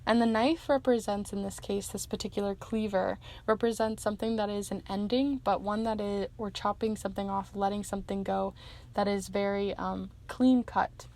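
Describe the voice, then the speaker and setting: A young woman reading pick-a-card charms and tarot for viewers, talking gently and meandering with frequent ums, her voice going hoarse. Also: medium pitch, little pitch movement, moderate pace. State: losing her voice.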